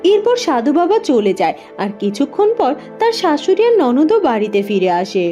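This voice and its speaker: Mellow high-voiced woman storyteller. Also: sing-song, moderate pace, dull audio, soft background music. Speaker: narrator. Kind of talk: scripted children's fairy-tale narration.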